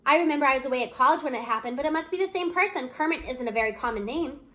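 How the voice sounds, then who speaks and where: A woman's voice: high voice, racing, fairly bright tone. A woman voicing a practical, inquisitive mother of a schoolgirl in a read-aloud story. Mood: curious.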